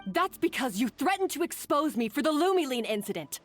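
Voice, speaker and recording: A woman's voice: high-pitched, big pitch swings, a little fast. A woman voicing a guilt-ridden, resentful woman accomplice in a video game, answering in terse, subdued replies. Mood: defiant. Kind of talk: game voice acting. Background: faint game music.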